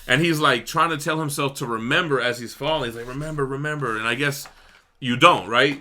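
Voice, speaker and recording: A man's voice: mid-range voice, a little fast, pushed and bright. A man chatting casually as co-host of a review, retelling a story in slangy bursts with some swearing. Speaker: co-host reviewing a graphic novel. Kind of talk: casual chat, book discussion.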